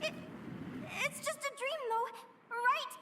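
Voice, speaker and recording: A woman voicing a dreamy, excitable young girl adventurer in a video game, expressively musing aloud. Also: extremely high pitch, takes it slowly, exaggerated voice. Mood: shaken, distressed. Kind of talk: video game story voice acting.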